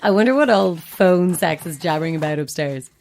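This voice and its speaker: A no-nonsense radio producer in an audio drama. She teases drily and briskly with a lively, varied pitch, over faint background noise. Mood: teasing, casual. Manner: brisk, dry teasing. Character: teasing, no-nonsense female late-night radio producer. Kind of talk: naturalistic audio drama dialogue.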